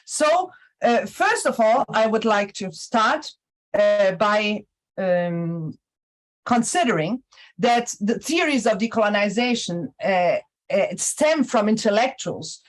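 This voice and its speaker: A woman with a Brazilian Portuguese accent, a native Portuguese speaker giving an academic lecture in a university hall, speaking haltingly with many restarts and fillers. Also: mid-range voice, expressive. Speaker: conference lecturer.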